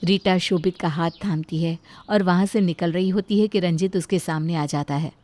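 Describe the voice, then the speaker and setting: A woman's voice: lowish voice, steady tone, normal speed, a little mellow. A woman reading scripted narration of a moral story as its storyteller.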